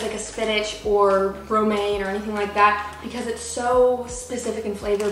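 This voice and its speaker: A woman's voice: fairly high pitch, fairly bright tone. A woman of twenty chatting casually in her home kitchen as a vlogger, using lots of "like" fillers, recorded by a camera a few feet away. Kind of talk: casual grocery haul chat. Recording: echoey kitchen, distant mic.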